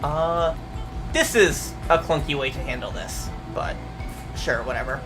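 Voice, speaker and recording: A young adult streamer talks casually to their chat, wandering through answers with constant ums and uhs and the occasional curse. The voice sits at a middling pitch. Music plays clearly underneath. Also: a little slow, poor audio. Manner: rambling, hesitant, many fillers, mild swearing. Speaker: VTuber streamer. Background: music playing.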